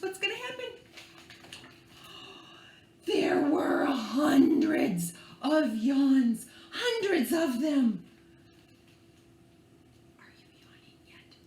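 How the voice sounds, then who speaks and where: A woman reading a picture book to young children in an expressive, playful and theatrical way, drawing out words and adding hushed asides. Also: sits high. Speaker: librarian reading at children's storytime. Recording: echoey room with faint background noise.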